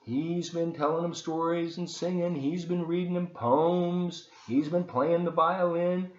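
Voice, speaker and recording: A man reading aloud to children as a volunteer storyteller, expressive and encouraging, with chatty asides. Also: fairly high pitch, unhurried, reverberant, dull audio.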